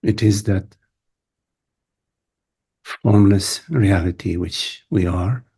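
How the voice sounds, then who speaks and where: A man leading a guided meditation as a teacher, speaking in short contemplative phrases with long pauses. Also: sits low, warm tone, slightly rough. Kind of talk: guided meditation, spoken softly to listeners.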